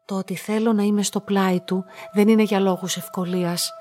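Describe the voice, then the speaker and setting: An actress playing a solitary young woman in a radio play, polite, measured and introspective, quietly devoted and resolute. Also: medium pitch, steady tone, faint music behind, lightly acted. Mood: hesitant, sincere. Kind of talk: radio drama acting and first-person narration.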